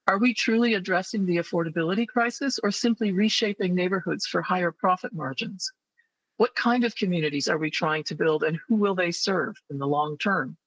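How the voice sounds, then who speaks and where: High-pitched gravelly man reading firmly. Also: a bit bright, dry recording, poor audio. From Canada. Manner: reads a firm, measured statement with pointed questions. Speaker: public speaker at a council hearing. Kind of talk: prepared public statement. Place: council chamber.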